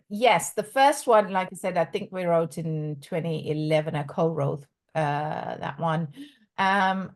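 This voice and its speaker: A middle-aged woman from South Africa, a coach and author, talking in a casual interview with many pauses and restarts. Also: on the low side, a little mellow. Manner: halting, many ums and restarts, laughs often.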